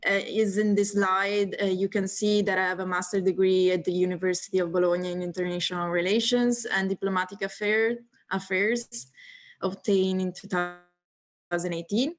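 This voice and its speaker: A woman's voice: mid-range voice, steady tone, normal speed. Woman, 20s, Italian accent, video call guest, friendly, filler-heavy. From northeast Italy. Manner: friendly, nervous laughs, many fillers. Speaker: guest speaker.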